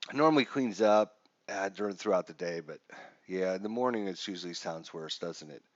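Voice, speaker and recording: A lower-voiced man with a stuffy, congested nose, chatting casually and filling pauses as he walks through a game setup. The audio is dry and a bit dull, like a lo-fi stream mic. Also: animated, a bit bright, no echo. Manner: casual, chatty with frequent fillers. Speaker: live stream host. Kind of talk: live wargame walkthrough.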